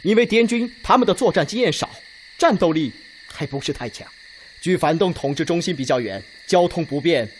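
A methodical Red Army officer played by a man presses his case point by point in a radio drama. He is emphatic, with a fairly high, very varied pitch. Faint noise sits in the background. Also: animated, brisk, close to an everyday voice. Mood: earnest. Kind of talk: Chinese radio drama acting.

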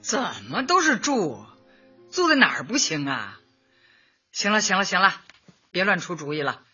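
Husky, bright old mother. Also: fairly low pitch, sing-song, moderate pace, exaggerated voice. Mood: firm, dismissive. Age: older. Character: elderly mother, selfless community cadre, cheerful and decisive. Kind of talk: Chinese radio drama acting. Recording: dull, lo-fi audio with faint music.

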